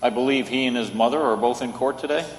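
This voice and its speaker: A man playing a patient, gentle defense lawyer in an old-time radio play, recorded in an echoey hall. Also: medium pitch, fast, lightly acted. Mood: patient, kindly.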